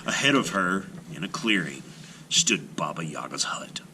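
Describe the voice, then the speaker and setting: A man reading a children's folktale aloud as scripted narration, with dramatic delivery and hushed phrase endings. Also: sits low, lively intonation, normal speed, a little hiss or hum, rough recording. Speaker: storyteller, children's read-aloud host. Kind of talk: expressive scripted storybook narration.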